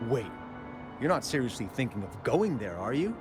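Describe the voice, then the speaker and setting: A man's voice: medium pitch, big pitch swings, natural voice. Guy doing a nervous chatty young miner, voice goes up and down a lot, music behind. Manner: talkative, jumpy, slows down when describing monsters. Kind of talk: game cutscene dialogue. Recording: game music underneath.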